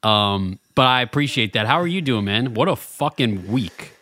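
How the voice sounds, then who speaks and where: A man with a baritone radio voice, chatting casually as a podcast co-host. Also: animated, moderate pace, bright, forceful tone. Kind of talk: casual chat.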